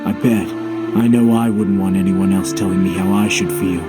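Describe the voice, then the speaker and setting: A man plays a calm, understanding companion in a video game drama. His low voice is measured and matter-of-fact, frank but empathetic. Prominent music plays behind him. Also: natural voice.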